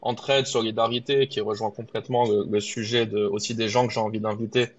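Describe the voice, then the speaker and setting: A man's voice: lowish voice, little pitch movement, brisk, lo-fi sound. A man hosting an interview, asking questions in a friendly, conversational way. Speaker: podcast host. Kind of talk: casual interview.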